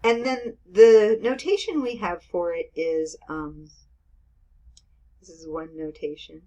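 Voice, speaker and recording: Woman, 50s, math teacher, lowish voice, informal lecture with homey examples. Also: fairly even intonation, slow pace, warm tone. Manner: chatty, explains with everyday examples, frequent "okay" and "right".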